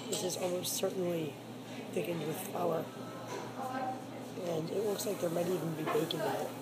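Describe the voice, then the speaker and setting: A hoarse woman hosting her own food vlog, chatting frankly about her meal while sitting in a seafood restaurant. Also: lowish voice, fairly even intonation, moderate pace, a loud crowd, poor audio. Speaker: food vlogger. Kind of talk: casual restaurant review vlog. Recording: loud background noise.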